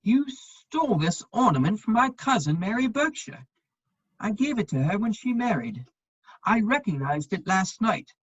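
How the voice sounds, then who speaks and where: A woman playing a witty, aloof upper-class gentleman in a dramatic reading of a period comedy, husky-voiced, with a dry, measured, cutting delivery. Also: medium pitch, big pitch swings, no echo, muffled recording. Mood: cold, accusing.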